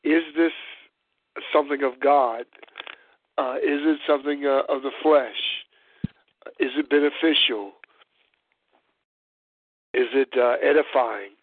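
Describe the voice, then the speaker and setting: Hoarse, congested man on a phone line. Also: medium pitch. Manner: halting, many uh fillers. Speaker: host of a call-in church roundtable. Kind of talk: hesitant spoken discussion. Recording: dry tinny phone line.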